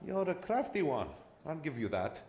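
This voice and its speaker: A roguish young adventurer in a video game. He is casual, wry and cheeky, with an animated pitch. There is a roomy echo and faint noise behind the voice. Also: moderate pace. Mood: cheeky, probing.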